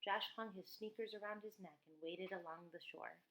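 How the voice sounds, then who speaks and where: A woman's voice: medium pitch, normal speed, fairly bright tone, rough recording. A woman reading a children's book aloud, teacher-style, with casual asides.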